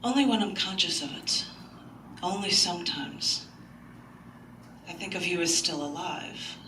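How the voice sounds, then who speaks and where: A woman's voice: low-pitched, bright, forceful tone, a little hiss or hum. Female poet and open mic host, live readings with anecdotal chat; distant mic, echoey room. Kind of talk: live poetry reading with chatty, anecdotal introductions. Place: large indoor bookstore, live event.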